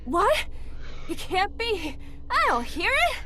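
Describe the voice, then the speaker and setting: A woman's voice: extremely high pitch, wildly swooping pitch, slow pace, heavy background noise, full character voice. A woman playing a hard, impatient, greedy accomplice in a melodramatic 1940s radio horror style. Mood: exasperated. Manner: melodramatic, commanding.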